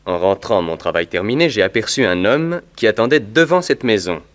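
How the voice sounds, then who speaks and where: An actor playing an earnest, polite veterinarian who gives deliberate, orderly testimony in a 1960s French radio drama. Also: male voice, on the low side, expressive, fairly bright tone. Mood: earnest, helpful. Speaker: actor in a detective radio serial.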